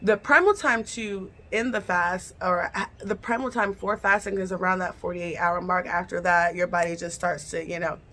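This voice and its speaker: A woman's voice: on the low side, steady tone, moderate pace, fairly bright tone. A woman vlogger casually telling a personal story, chatty and repetitive, speaking straight to her viewers. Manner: chatty, repeats phrases, addresses viewers directly.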